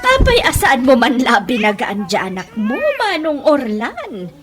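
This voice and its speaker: A woman's voice: a little high, wildly swooping pitch, music in the background. A woman voicing a scornful, gossipy middle-aged mother in a comedy radio drama, with an Ilocano Filipino accent. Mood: mocking. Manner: sneering, drawn-out, exclamatory.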